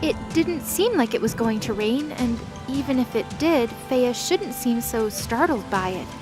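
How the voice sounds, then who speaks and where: A woman narrates a dramatic scripted fantasy tale. Her voice is fairly high. Music plays clearly behind her reading. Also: animated, unhurried.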